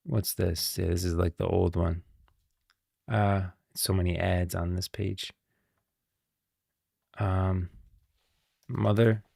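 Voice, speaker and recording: A male streamer with a very low, creaky voice talks casually about game lore. He muses and thinks aloud as he goes. The recording is close and dry. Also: steady tone, slow, pauses often, a little mellow, no room sound. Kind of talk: casual live stream commentary.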